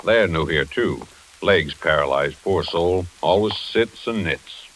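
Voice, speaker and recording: An actor playing a chummy, nosy, garrulous retired detective in an old-time radio thriller. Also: male voice, low voice, slow, fairly bright tone, rough recording. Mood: gossipy, genial. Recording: hissy old radio recording.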